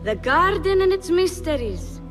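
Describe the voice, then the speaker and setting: A woman's voice: very high voice, very animated, a little slow, heavily acted. An actress playing a cunning, composed woman poisoner with fierce loyalty and a taunting manner, in a game cutscene. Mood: sly, cool. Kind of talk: dramatic video game cutscene acting. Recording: music loud behind the voice. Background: dramatic score.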